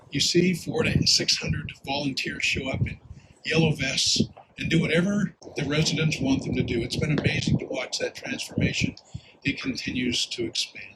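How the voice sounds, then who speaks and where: A man's voice: fairly high pitch, very noisy. Earnest man giving outdoor remarks. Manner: earnest, lists names with hesitations. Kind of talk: prepared public remarks.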